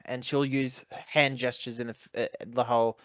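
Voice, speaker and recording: Guy narrating his rpg character, halting, mid pitch voice, bit dull dry audio. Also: flat delivery, fairly bright tone, no room sound. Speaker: tabletop game player. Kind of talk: describing a character's actions in a roleplaying game.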